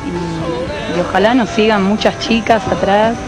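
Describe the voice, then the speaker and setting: A woman's voice: medium pitch, a little slow. Proud woman runner talking outside by a lake, music playing under. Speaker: team runner. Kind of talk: interview soundbites.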